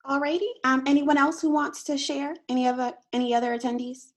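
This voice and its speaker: A woman's voice: sits high, fairly even intonation, fairly quick. A young woman informally moderating a student panel. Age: young adult. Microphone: computer mic on video call.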